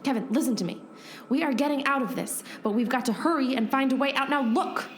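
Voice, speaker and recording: A woman playing a determined, brisk heroine in a comic radio play, narrating and speaking in an earnest mock-epic way. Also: high voice, slight background noise, natural voice. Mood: urgent, bossy. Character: determined heroine recounting her escape, bossy and brisk.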